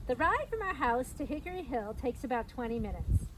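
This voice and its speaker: Woman, middle-aged, high-pitched voice, children's storytime read-aloud, explanatory asides, outdoor recording with clear background noise. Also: expressive, a little fast. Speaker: storytime reader, parks staff. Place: outdoors at an open trailhead by a fence.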